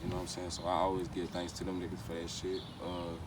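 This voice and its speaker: A very deep-voiced American man talks casually as a rapper being interviewed. His speech is slangy and peppered with repeated verbal tags. He sounds set back from the microphone, with clear outdoor city noise behind him. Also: monotone, fast talker. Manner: slangy, repeats verbal tags like "you know what I'm saying". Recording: noisy, distant mic, outdoor street ambience.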